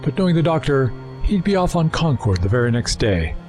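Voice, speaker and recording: A man's voice: medium pitch, very animated, a little fast, over music, natural voice. An actor playing a sly, talkative male alien time traveller in an audio drama, with a wry, mocking, chatty manner. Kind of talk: full-cast audio drama acting.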